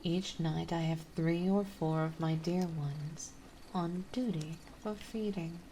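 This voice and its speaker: A woman playing a gentle yet possessive, dominant vampire mistress in an ASMR roleplay, speaking softly and soothingly, often in a breathy whisper. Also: on the low side, fairly even intonation, a little hiss or hum, rough recording. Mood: calm, reassuring. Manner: soothing, coaxing, slow and deliberate.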